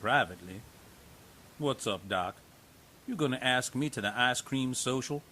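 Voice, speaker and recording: A man playing a folksy, gently joking investigator who reassures a young witness. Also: mid-range voice, lively intonation, moderate pace, a little hiss or hum. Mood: reassuring.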